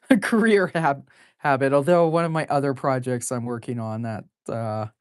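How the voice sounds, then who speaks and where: A woman in her forties with a low, deep voice, chatting casually while drawing live. She rambles, laughs often, and fills pauses with plenty of ums and uhs. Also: clear, resonant tone, no room sound, clean studio sound. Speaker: artist drawing live on stream.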